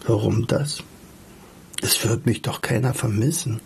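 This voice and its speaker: A man as a gentle, doubtful, husky storybook wanderer. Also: fairly low pitch, measured pace, heavily acted. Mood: doubtful, surprised. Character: Everyday Life as a modest, doubtful, gentle male wanderer. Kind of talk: storybook reading.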